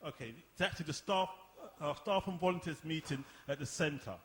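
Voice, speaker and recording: Slightly high-voiced male narrator. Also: brisk, a little hiss or hum. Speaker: narrator of a radio play. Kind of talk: scripted stage-direction narration.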